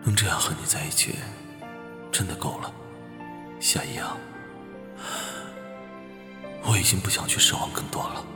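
Very low-voiced, gentle devoted boyfriend, played by a man. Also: normal speed, long pauses, natural voice. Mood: tender, wistful. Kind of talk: naturalistic Chinese audio or screen drama dialogue. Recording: music behind the voice.

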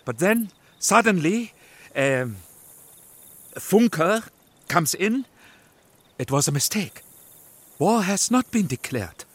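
An actor playing an earnest, flustered émigré film director, with a German accent and halting, broken English, in a radio play. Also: male voice, high-pitched, very animated, a bit bright, dry recording, heavily acted. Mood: excited, groping.

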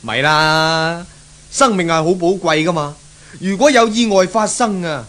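An actor playing a cautious, anxious young boyfriend in a 1970s radio drama, flustered and protesting, with a Hong Kong accent. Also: male voice, high voice, measured pace, a bit bright. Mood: shaken, reproachful. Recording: noisy old radio recording.

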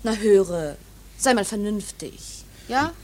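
An actress playing a sharp-tongued, exasperated young waitress who scolds and coaxes in a German radio play. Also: female voice, mid-range voice, animated, slow pace. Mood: exasperated coaxing. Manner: scolding, teasing, theatrical.

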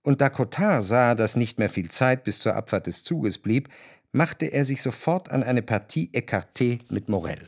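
Literary narrator: medium pitch, measured, detached irony, close and dry studio sound, radio drama. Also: male voice, animated, no echo. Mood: detached, ironic. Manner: measured, detached, subtly ironic. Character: cultured, ironic male first-person narrator.

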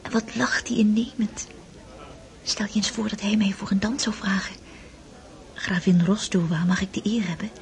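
A woman's voice: mid-range voice, steady tone, moderate pace, pauses often, natural voice. An actress in a Dutch radio drama playing an eager, romantic young girl at her first grand ball, dreamy and yearning. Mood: whispered, dreamy.

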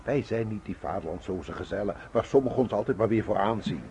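A man's voice: on the low side, expressive, a little fast, dark tone, slight background noise. A man acting a proud, stubborn middle-aged father in a radio drama, declaiming vehemently. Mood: proudly insistent. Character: proud, stubborn German socialist father defending the war.

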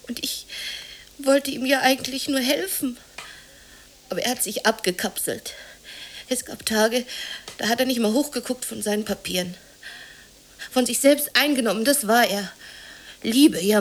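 A woman's voice: on the high side, close to an everyday voice. An actress in a German radio drama playing a bitter, anxious, unemployed working-class woman who confides in plaintive, colloquial speech. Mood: bitter, agitated. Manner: colloquial, confiding, plaintive, sometimes near whispering.

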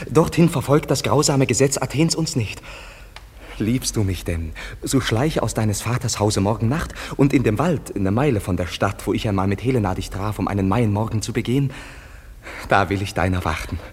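An actor playing an earnest, ardent young lover in a classical verse radio drama, declaiming solemnly and poetically. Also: male voice, fairly low pitch, lively intonation, moderate pace, a little mellow, slightly rough. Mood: earnest, urging. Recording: vintage radio play, long reverb, music behind.

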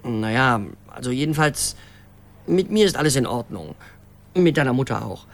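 An actor playing a rambling boyfriend leaving a halting, reassuring voicemail in a radio drama. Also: male voice, mid-range voice, animated, gravelly. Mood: hesitant, reassuring.

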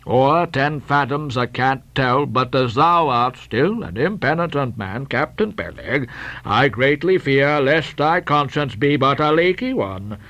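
A man voicing a stingy, solemn old Quaker captain with a slow, mumbling, sepulchral delivery and archaic pious speech. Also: mid-range voice, animated, a bit bright, dry recording, poor audio. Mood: solemn, reproving.

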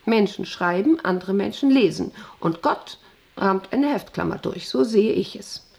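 A woman's voice: mid-range voice, lively intonation, measured pace, creaky, a little mellow. An actress playing a proud, middle-aged female factory director in a radio play, rambling on in a condescending, self-important way and often seeking agreement. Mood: rambling, weary. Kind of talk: radio play monologue to an employee.

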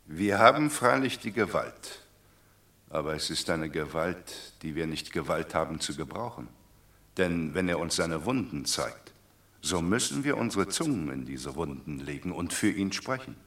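An actor playing a shrewd Roman commoner, reasoning wryly in a classic radio drama. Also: male voice, sits low, expressive, normal speed, a bit bright.

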